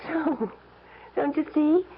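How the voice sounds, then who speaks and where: An American actress playing a sweet, naive young wife in a 1970s radio drama, gushing and earnestly insistent. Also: female voice, high-pitched, slow pace. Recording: muffled, noisy old radio broadcast.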